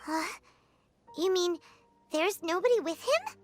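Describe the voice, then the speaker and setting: A tiny, excitable fairy sidekick from a video game, extremely high and squeaky. The childlike voice swoops expressively and draws its words out slowly, anxious by temper, over music. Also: female voice, heavily acted. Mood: puzzled. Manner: exclamatory, expressive. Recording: background music under the dialogue.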